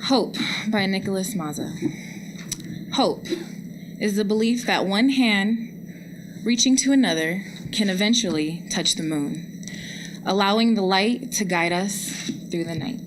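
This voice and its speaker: A woman's voice: mid-range voice, unhurried, long pauses. A young adult woman reading poetry aloud at a live event. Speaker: poetry reader. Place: indoor public lecture event. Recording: noisy live room recording.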